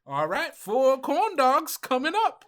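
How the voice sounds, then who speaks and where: A man's voice: extremely high pitch, very animated, takes it slowly, fairly bright tone, voice pushed up, exaggerated voice. A man voicing a folksy little dog who works as a carnival vendor, talking in salesman patter for a tabletop game. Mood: pleased. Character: tiny-armed elongating dog carnival vendor, folksy huckster.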